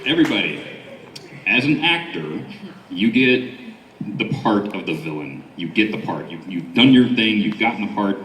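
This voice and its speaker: A man with a deep voice addresses a convention panel in a casual, deliberate way, repeating phrases. He sounds a little far from the mic in an echoey room. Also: expressive, a bit bright. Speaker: panelist posing questions, new voice actor. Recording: distant mic, echoey room, faint crowd.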